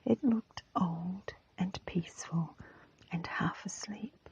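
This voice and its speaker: Woman doing asmr story reading, super breathy whisper, real slow n gentle, bit muffled. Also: lowish voice. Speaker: ASMR reader.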